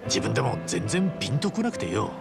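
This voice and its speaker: A big-hearted video game hero who talks in rough, chummy slang. His pitch moves in a lively way and his voice has a gravelly creak. Music plays behind him. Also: brisk, heavily acted. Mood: candid, reflective. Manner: casual, rough street-style slang, chummy. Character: friendly, rough-spoken, big-hearted grown man adventurer. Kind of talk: Japanese video game cutscene acting.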